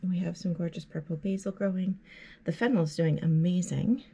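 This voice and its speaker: Thirties woman, low-ish voice, friendly garden-vlog host, noisy home recording. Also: moderate pace, mellow, dull audio. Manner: chatty and upbeat, walking through her plants. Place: house interior, rooms and furnace room. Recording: noisy home room with furnace hum.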